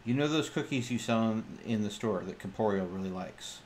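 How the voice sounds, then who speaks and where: A man's voice: fairly low pitch, expressive, a little fast, a little mellow, a little hiss or hum. A man improvising in character during a tabletop roleplaying game, speaking hesitantly and often repeating or restarting his phrases.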